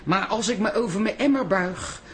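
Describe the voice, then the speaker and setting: A woman's voice: medium pitch, normal speed, a bit bright, roomy sound, natural voice. A woman acting in a Dutch radio comedy as a vain, gushing aunt who fancies herself a great actress. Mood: grandiose. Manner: dramatic, gushing. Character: theatrical, vain aspiring amateur actress auntie.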